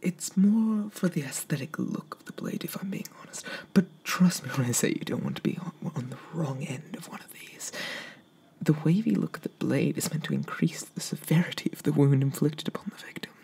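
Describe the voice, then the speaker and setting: Guy doing asmr shopkeeper roleplay, super breathy whisper, kinda creaky, slow n polite. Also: fairly high pitch, animated, darkish tone. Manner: slow, hesitant, courteous sales patter. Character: polite, eager male weapons merchant obsessed with swords. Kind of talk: softly spoken ASMR roleplay. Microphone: close microphone.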